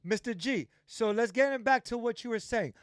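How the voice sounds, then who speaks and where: A man with a notably high voice hosts a casual livestream interview. He is emphatic and drives points home by repeating phrases. The sound is dry, with no room echo. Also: moderate pace. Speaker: livestream host.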